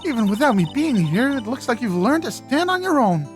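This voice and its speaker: A woman playing a calm, confident mentor lawyer in a fan voice-over of a visual novel, speaking in a measured, instructive way. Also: fairly high pitch, soft background music. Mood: warm greeting.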